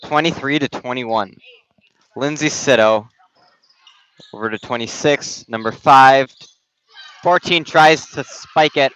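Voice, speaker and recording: Young man calling volleyball, smooth yet slightly raspy. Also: mid-range voice, fairly bright tone. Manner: casual, halting play-by-play with restarts. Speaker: volleyball play-by-play announcer. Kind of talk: amateur sports commentary. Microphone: headset. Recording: dull, dry gym-stream audio.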